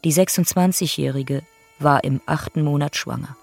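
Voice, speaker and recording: A woman's voice: low voice, normal speed, gravelly, darkish tone, soft background music. A woman narrating victims' profiles in a radio play, in a clipped, factual, sober manner.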